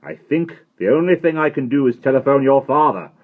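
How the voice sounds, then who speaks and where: A man voicing a stern male school headmaster who questions a pupil in gruff, commanding tones, in a storybook reading. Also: mid-range voice, expressive, a little fast, pitched above the performer's own voice, lightly acted. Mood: stern questioning. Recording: tinny phone-like sound.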